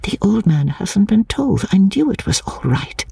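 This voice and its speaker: A woman's voice: mid-range voice, big pitch swings, a little slow, vocal fry, mellow, husky, dry recording, clean studio sound, recorded from a distance, voice pushed up, heavily acted. A woman voicing an eager, impulsive young Edwardian woman in an audiobook, earnest and emphatic. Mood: bold, eager.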